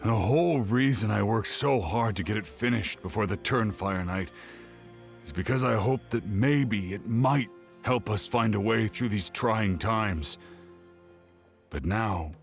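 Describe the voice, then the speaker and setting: A man playing an earnest, excitable young researcher in a video game, explaining things insistently. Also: fairly low pitch, a little slow, gravelly, soft background music. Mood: dejected.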